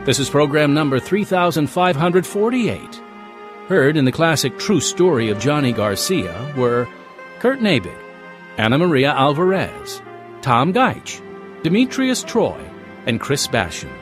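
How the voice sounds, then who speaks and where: Lively-pitched male radio announcer. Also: a little slow, over music. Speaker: program announcer and host.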